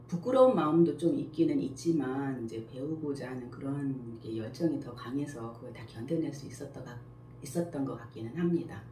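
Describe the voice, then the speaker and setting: A woman in her sixties, a school principal and picture book author, answering interview questions in a slow, modest, measured way. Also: rumbling low, warm tone. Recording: echoey room, faint background noise.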